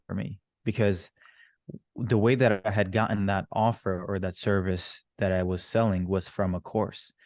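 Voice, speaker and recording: A man with a fairly low voice, recorded dry with little room sound. He grew up in Rwanda and then Canada, and he talks casually as an interview guest, often circling back to rephrase his points. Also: darkish tone, no room sound. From born in Rwanda, moved to Canada at thirteen. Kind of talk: casual interview, telling his own story.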